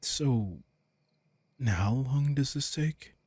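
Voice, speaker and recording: A man's voice: mid-range voice, expressive, drawn-out words, a little mellow, slightly rough, no room sound, heavily acted. A man playing a caring vampire king in an intimate roleplay audio, speaking in a casual, teasing, protective way. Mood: concerned, gentle. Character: commanding but caring male vampire king.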